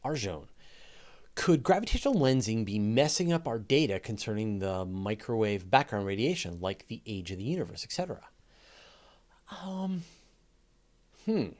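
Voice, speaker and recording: A man's voice: fairly low pitch, very animated, moderate pace, long pauses, darkish tone. A man hosting a casual live science question and answer session, explaining conversationally and thinking aloud with enthusiasm. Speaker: host answering audience questions.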